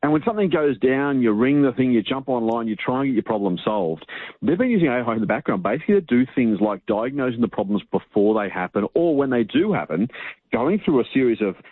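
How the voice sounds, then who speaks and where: A fast-talking man gives a casual finance rundown over a tinny phone line. His voice is slightly husky and dry, and he is chatty and self-deprecating. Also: mid-range voice, lively intonation, no room sound. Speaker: finance commentator. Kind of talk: radio finance segment.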